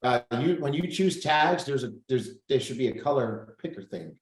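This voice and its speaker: A man's voice: mid-range voice, animated, normal speed, reverberant, low-quality recording. A man hosting a casual group coaching call over video, upbeat, thinking aloud and reading out figures. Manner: upbeat, reads out numbers, thinks aloud while clicking.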